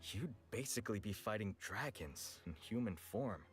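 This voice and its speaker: An actor playing a frank, world-weary weapons merchant in a video game, with a casual, blunt manner. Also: male voice, fairly low pitch, animated, normal speed, natural voice. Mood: grave storytelling. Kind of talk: scripted game voice acting. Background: background music.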